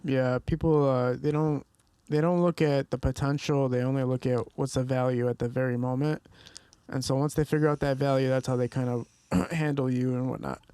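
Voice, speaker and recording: A man's voice: medium pitch, flat delivery, normal speed, mellow, clear, resonant tone, no room sound. A young man hosting a podcast, talking casually in a laid-back, agreeable way. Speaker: podcast host.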